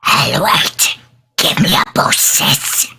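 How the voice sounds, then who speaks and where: A man voicing a small curious boy mouse in a live radio play reading, pleading and hushed. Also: fairly high pitch, animated, slow, creaky, breathy edge, exaggerated voice. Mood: eager. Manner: pleading, hushed and wide-eyed.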